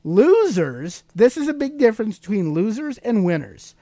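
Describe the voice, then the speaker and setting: A man's voice: sits high, very animated, moderate pace, dark tone, no room sound, clean studio sound. A man hosting a podcast, teaching motivational ideas in a conversational, explanatory way and putting questions to the listener. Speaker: podcast host.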